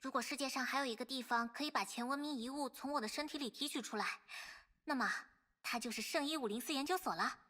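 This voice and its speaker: A woman's voice: high voice, quick pace, sharp tone. An actress playing an earnest, courteous young female warrior in an anime-style video game. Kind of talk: scripted anime game voice acting.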